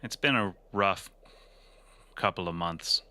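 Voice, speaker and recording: A man's voice: low-pitched, slow, bright, forceful tone, full character voice. A man playing a wry, cavalier cyberpunk mercenary in a tabletop game, glib and understated in his delivery. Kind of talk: improvised tabletop role-play.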